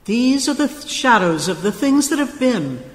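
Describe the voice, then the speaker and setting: An actor playing an ageless, childlike spirit in a radio play, formal and commanding. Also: male voice, very high voice, big pitch swings, moderate pace. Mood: calm, stately. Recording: echoey, long hall-like reverb.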